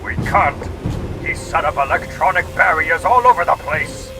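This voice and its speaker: A man's voice: fairly high pitch, lively intonation, moderate pace, distant mic, background noise, pitched above the performer's own voice, close to an everyday voice. A man playing an alarmed atomic plant worker in a dramatic comic book reading, speaking urgently. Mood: panicked.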